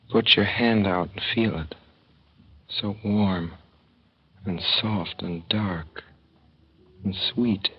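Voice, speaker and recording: A man's voice: low-pitched, slightly rough, exaggerated voice. An actor playing an earnest, lovesick young racetrack worker, telling his story in folksy slang for a 1930s radio drama. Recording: dull old radio recording with music.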